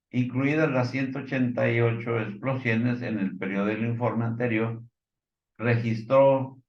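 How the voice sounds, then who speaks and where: A man with a Mexican accent giving informal news commentary, partly reading from reports, in a halting, hesitant way with fillers and stumbles. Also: mid-range voice, echoey room, dull audio.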